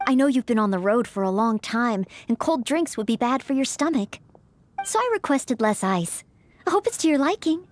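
Actress as a high-voiced, polite young maid knight. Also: female voice, lively intonation, moderate pace, a little hiss or hum, natural voice. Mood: eager hospitality. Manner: courteous, apologetic, dutiful. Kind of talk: video game character acting.

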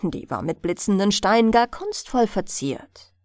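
A woman narrating a fairy tale as a bedtime audiobook, in an expressive, unhurried storytelling manner. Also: medium pitch, dry recording, studio quality.

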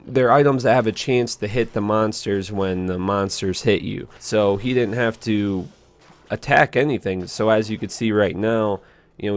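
A man in his twenties with a hoarse voice, speaking casually and earnestly to viewers as a gaming channel host. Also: fairly low pitch. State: hoarse, voice strained after a concert. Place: small indoor room at home.

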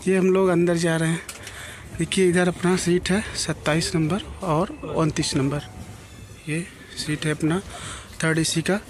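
A man's voice: on the high side, little pitch movement, measured pace. A young man filming a casual travel vlog on a phone at arm's length, chatting to his viewers like friends from a train and station platforms. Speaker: travel vlogger. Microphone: phone held at arm's length. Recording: loud train noise behind.

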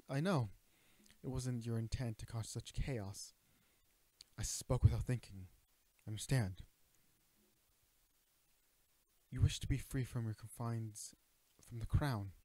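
Man acting a mellow, earnest protective knight. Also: medium pitch, no echo, close to an everyday voice. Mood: apologetic, gentle. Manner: earnest, hesitant, stammering, formal courtly address. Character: young male knight, protective royal bodyguard, earnest and flustered. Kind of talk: romantic audio roleplay acting, addressing the listener.

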